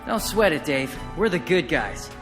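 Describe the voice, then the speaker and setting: A man's voice: fairly high pitch, sing-song, a little slow, long reverb tail, over music. A man acting a brash, eager teenage boy hero in a video game, talking informally and impulsively. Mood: cocky, upbeat.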